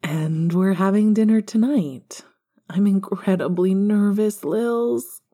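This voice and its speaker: A woman's voice: mid-range voice, takes it slowly, warm tone. A woman voicing a cocky yet nervous, lovestruck man in an audiobook. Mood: nervous excitement. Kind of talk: audiobook fan fiction character dialogue.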